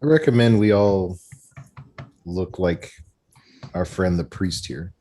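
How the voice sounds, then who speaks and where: A man's voice: sits low, expressive, slow pace, dark tone, a little hiss or hum. A man playing a tabletop roleplaying game, talking casually with the group.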